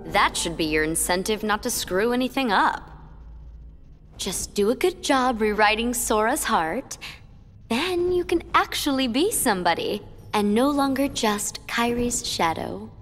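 A woman's voice: fairly high pitch, expressive, unhurried, fairly bright tone. A woman voicing a cruel, mocking young female villain in a video game cutscene, taunting and condescending, over game music.

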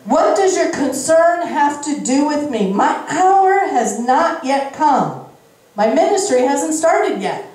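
A middle-aged woman preacher giving a sermon, explaining scripture in deliberate, emphatic short phrases into a handheld microphone on an indoor church stage. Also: high-pitched, sing-song, darkish tone. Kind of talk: sermon, Bible reading with interjected explanation. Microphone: handheld microphone at a lectern. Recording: very echoey room, slightly distant sound.

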